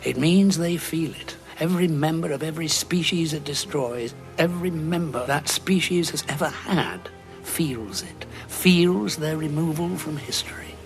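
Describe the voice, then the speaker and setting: Audio drama: male actor as grim, guilt-ridden Time Lord veteran, gravelly, sardonic, music behind. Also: a little high, close to an everyday voice. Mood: grim, haunted. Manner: dramatic, deliberate, dryly sardonic. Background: background music.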